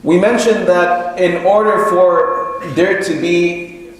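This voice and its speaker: Heavy echo and long reverb surround this man as he delivers an Islamic sermon. His voice sits a little high for a male speaker. He explains each point deliberately, repeating phrases as he builds them. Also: fairly even intonation, noisy background. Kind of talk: sermon, explanatory religious lecture. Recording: very echoey hall with long reverb.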